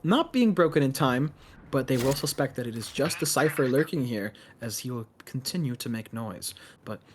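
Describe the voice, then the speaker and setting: A man's voice: mid-range voice, animated, moderate pace, slight background noise. A man giving live play-by-play and analysis as an esports commentator.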